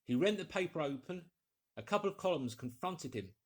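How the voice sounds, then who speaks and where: A man's voice: on the high side, lively intonation, fairly quick. A man reading a story aloud as a scripted, dramatic audiobook narrator.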